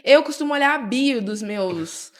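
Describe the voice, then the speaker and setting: A woman's voice: a little high, animated, normal speed. A woman hosting her own podcast, chatting with a guest in a playful, teasing way. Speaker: podcast host. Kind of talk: casual interview podcast.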